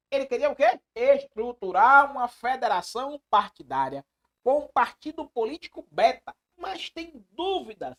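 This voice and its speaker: A man teaching an animated live exam-prep class, emphatic, repeating phrases and putting questions to his students. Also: very high-pitched. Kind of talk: animated live online lecture.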